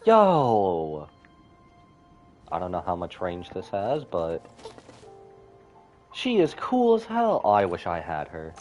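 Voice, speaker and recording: Very animated, lowish-voiced male game streamer. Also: takes it slowly, pauses often, soft background music. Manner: reactive, exclamatory, thinking aloud. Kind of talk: casual live gameplay commentary.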